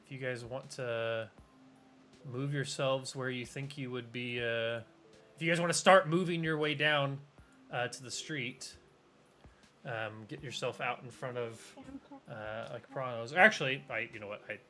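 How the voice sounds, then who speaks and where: A man's voice: mid-range voice, measured pace, bright, forceful tone, faint music behind. A young man running a tabletop game as game master, rambling with plenty of fillers and restarts. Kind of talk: casual tabletop game narration.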